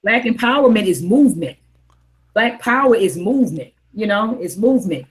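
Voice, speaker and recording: A woman's voice: medium pitch, animated, moderate pace, rough recording. A woman hosting a casual online book club chat, rambling and repeating herself. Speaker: host.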